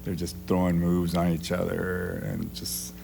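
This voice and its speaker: Middle-aged man, coach type, low gravelly voice, easygoing and plain-spoken, hum under the recording. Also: measured pace. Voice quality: deep voice that does not carry. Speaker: jiu-jitsu coach. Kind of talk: podcast interview guest. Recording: steady background hum.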